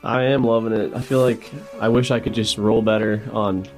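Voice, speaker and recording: Low-pitched man chatting over faint music. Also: fairly even intonation, normal speed, a little mellow. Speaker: tabletop game player. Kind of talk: casual in-game chat.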